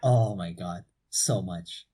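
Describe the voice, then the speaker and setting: A young man from Portugal co-hosts a loose podcast chat, relaxed and casually profane. His voice is husky and mellow, heard through a cheap microphone. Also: deep voice, takes it slowly. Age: young adult. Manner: relaxed, swears casually, laughs often. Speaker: podcast co-host. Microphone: cheap low-quality mic.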